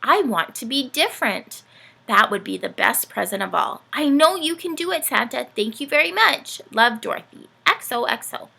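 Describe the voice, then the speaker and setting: An eager little girl penguin from a storybook, played by a woman. The voice is bright and swoops about, with creaky edges. The manner is earnest and excitable. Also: high-pitched, normal speed, pitched above the performer's own voice, full character voice. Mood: earnest, hopeful.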